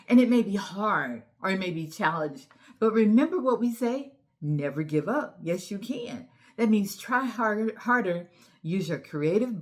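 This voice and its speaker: A woman hosting a children's storytime lesson, encouraging and instructive, speaking directly to young children with emphatic, deliberate enunciation. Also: mid-range voice. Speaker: children's read-aloud host and teacher.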